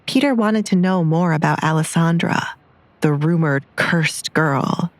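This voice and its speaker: Low-pitched woman narrator, dry recording. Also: unhurried, no echo. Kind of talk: scripted dramatic story narration.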